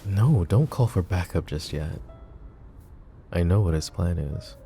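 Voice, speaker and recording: Casting note: a man as a flirty, protective mafia boss for ASMR roleplay, deep warm voice, soft music faintly behind. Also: lively intonation, measured pace, creaky, full character voice. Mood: calm scheming. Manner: teasing, soft-spoken.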